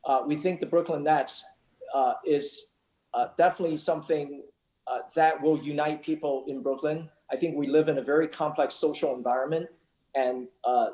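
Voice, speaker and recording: A man from Taiwan, whose first language is not English, answering interview questions in a measured way with many fillers and restarts. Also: on the high side, steady tone, darkish tone, echoey room, rough recording. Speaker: sports team owner, guest.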